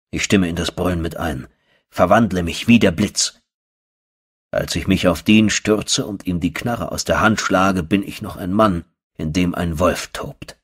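A male audiobook narrator with a very low, rough-edged voice. He reads a first-person horror story dramatically, brooding and theatrical in his delivery.

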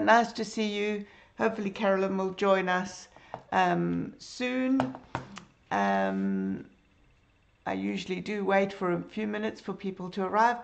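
A middle-aged woman teaches a drawing lesson over a live stream from her home. Her voice is hoarse and gravelly, with a lot of fry. She talks slowly and chattily, fills her pauses with ums and greets her viewers as they arrive. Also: mid-range voice. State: hoarse, croaky. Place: home room with bookshelves. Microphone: computer webcam.

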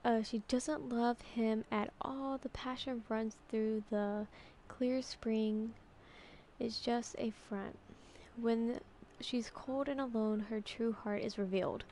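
A woman giving casual, halting, exasperated commentary as host of a gaming video. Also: on the high side, fairly even intonation, a little mellow, slight background noise. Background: video game music.

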